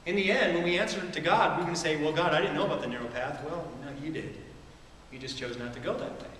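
A middle-aged man preaching from a church stage, talking conversationally and tossing rhetorical questions to the room. His voice sits a little high for a man. It is caught from far off, with a long, roomy hall echo and a faint hiss behind it. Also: quick pace, fairly bright tone. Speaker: pastor. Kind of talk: sermon. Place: church stage with a large screen. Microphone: far camera in the hall.

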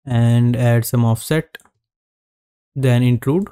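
A man narrating a screen-recorded 3D modeling tutorial, giving calm step-by-step instructions with frequent pauses. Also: mid-range voice, smooth, no room sound, clean studio sound.

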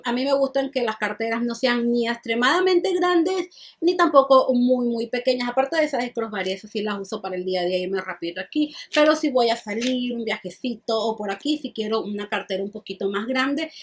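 A woman's voice: fairly high pitch, breathy edge. A woman in her thirties doing a casual unboxing review, chatty and often repeating words as she shows the bag, filmed close to the camera in a small room. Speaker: beauty and fashion vlogger. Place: small indoor room, plain backdrop.

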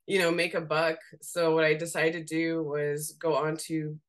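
A young woman from Seattle with a slightly raspy voice, chatting casually as a guest on a video call and laughing often as she talks. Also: deep voice, moderate pace. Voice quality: raspy voice today. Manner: laughs often while talking, relaxed and candid. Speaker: guest, graphic designer. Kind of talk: casual interview chat. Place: home room.

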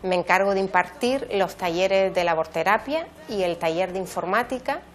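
A woman's voice: mid-range voice, slight background noise. A woman presenting her work to camera as a social worker. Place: small indoor office. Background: music.

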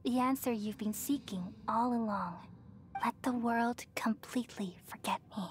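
An actress playing a serene, wise goddess in a game cutscene, speaking in a solemn, measured, poetic way. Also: female voice, fairly high pitch, slight background noise, close to an everyday voice. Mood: gentle, consoling. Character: serene, wise goddess bidding the world farewell. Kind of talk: dramatic game cutscene monologue.

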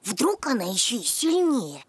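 A woman voicing a sly, teasing, girlish dog sister in an animated series. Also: on the high side, measured pace. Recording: music under the dialogue.

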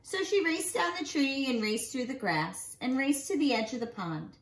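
A woman in her forties reads a children's picture book aloud in an expressive way, drawing out key words for young listeners. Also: high-pitched. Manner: animated storytelling for young children, drawn-out emphasized words. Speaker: nursery school teacher reading bedtime stories. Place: small living room at home, indoors. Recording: roomy home recording.

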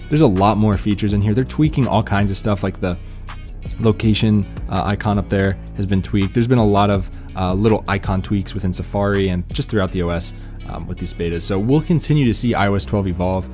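A man's voice: low voice, a little mellow. A man giving casual, laid-back tech news commentary in an informal, slangy way.

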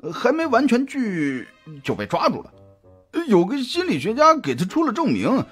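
A man acts out an easygoing private detective in an audio drama. The voice is husky and a little high, swinging widely in pitch as he chats in a halting, colloquial way. Music plays underneath. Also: full character voice. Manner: halting, colloquial, with drawn-out hesitations. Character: jovial, chatty male private detective, easygoing friend. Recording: music behind the voice.

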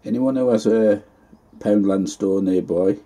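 A man's voice: lowish voice, measured pace, mellow, a little hiss or hum. A man, chatting casually as he shows his allotment work in a greenhouse. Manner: chatty, homely, plain-spoken. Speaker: allotment vlogger. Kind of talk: casual gardening vlog narration.

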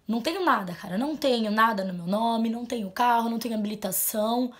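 A young woman, talking into a camera in a small bedroom. Her voice sits a bit high, and she is blunt and emphatic. She drops low, then turns loud to drive a point home. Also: fast. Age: 20s. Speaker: vlogger. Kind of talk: heartfelt vent to camera. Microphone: camera mic at close framing.

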